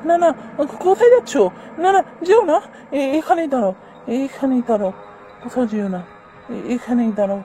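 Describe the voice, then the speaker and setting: A man's voice: extremely high pitch, very animated, a little fast, pauses often, warm tone, exaggerated voice, low-quality recording. A man voicing a guilty, anxious married writer in a horror cartoon, exclaiming and repeating himself. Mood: frightened, uneasy. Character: guilty, jumpy married male writer in ghost story. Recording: music behind the voice.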